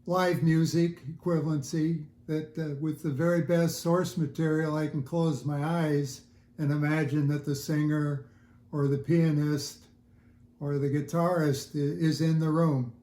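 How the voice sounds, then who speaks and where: Older man, mellow voice, roomy sound. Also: fairly high pitch, little pitch movement. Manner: halting, thoughtful explaining. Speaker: interview guest, audiophile hobbyist. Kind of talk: casual interview chat. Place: indoors at home listening room. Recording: roomy, echoey home recording.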